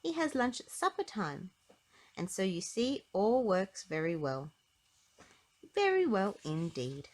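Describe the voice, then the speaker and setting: A woman narrating a children's storybook aloud in an expressive, emphatic way with clear phrasing. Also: mid-range voice, slow.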